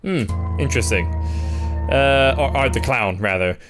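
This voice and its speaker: A male streamer doing a live reaction, reading text aloud and adding sarcastic, deadpan asides. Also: medium pitch, unhurried. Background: music.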